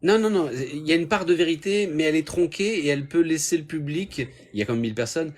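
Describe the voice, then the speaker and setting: A man's voice: on the high side, fast. A man in a casual debate, defending his views with frequent hesitations, restarts and fillers. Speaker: Raëlian guest in a debate.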